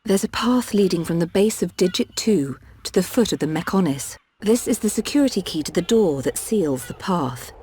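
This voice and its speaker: An actress playing a caring, serious healer in a video game, with measured, gentle delivery and a dark, husky voice. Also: female voice, lowish voice, animated, slight background noise, natural voice. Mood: solemn. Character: caring, grave female healer and mechanic, candid adviser. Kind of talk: dramatic video game voice acting.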